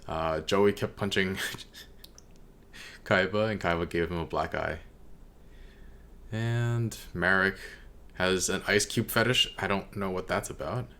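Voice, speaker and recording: A man giving casual, unscripted commentary as a reaction video host, halting as he thinks aloud. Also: low-pitched, bright, forceful tone.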